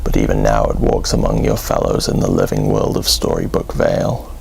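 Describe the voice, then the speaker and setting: A man's voice: a bass voice, steady tone, brisk, creaky, darkish tone, a little hiss or hum, pitched below the performer's own voice, full character voice. A man voicing a solemn, monstrous many-mouthed guardian in a horror storybook, speaking in grand, ominous pronouncements over music. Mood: grave, booming. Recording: music under the voice.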